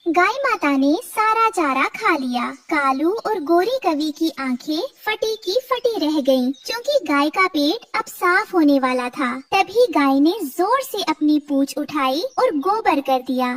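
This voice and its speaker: A woman's voice: sits high, lively intonation, a little slow, hardly any pauses, fairly bright tone, distant mic. A woman narrating a cartoon story in a scripted, dramatic style.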